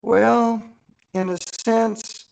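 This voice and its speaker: A man with a gravelly, husky voice chatting casually as a guest author, folksy and good-humored in his storytelling. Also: high-pitched, little pitch movement, drawn-out words, darkish tone, poor audio.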